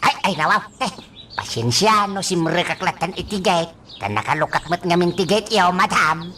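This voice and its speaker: A woman's voice: fairly low pitch, animated, moderate pace, pushed and bright, slight background noise. A woman with an Ilocano accent playing an apologetic, stammering household servant who defers to the madam in a radio comedy-drama. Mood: apologetic, flustered. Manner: stammering, deferential, repeats a catchphrase.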